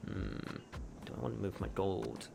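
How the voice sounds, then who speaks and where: A man's voice: low voice, sing-song, moderate pace, creaky, background noise. Man muttering through a board game. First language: not Japanese. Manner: thinks aloud, mutters moves, swears casually. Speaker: gaming streamer. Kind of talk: casual gameplay commentary.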